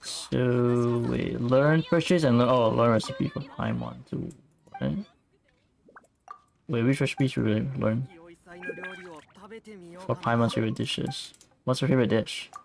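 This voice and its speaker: A man's voice: medium pitch, fairly even intonation, very slow, vocal fry, long pauses. A man giving casual playthrough commentary as a game streamer, muttering and thinking aloud. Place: indoors, streaming over game audio. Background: faint game music.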